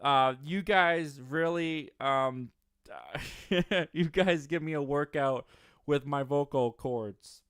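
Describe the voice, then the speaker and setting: A man's voice: on the high side, takes it slowly, fairly bright tone, smooth, dry recording, clean studio sound. Upbeat young man hosting a live stream. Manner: upbeat, rambling, frequent restarts and self-corrections. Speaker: host of a live movie Q&A stream. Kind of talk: casual live-stream chat reading viewer comments.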